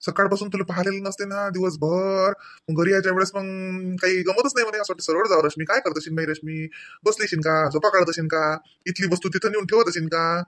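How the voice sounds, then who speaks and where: A man's voice: high-pitched, steady tone, fast, a bit bright. A man voicing a teasing, affectionate young husband in a comedy cartoon, chatting in a rural Marathi accent. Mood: teasing, fond.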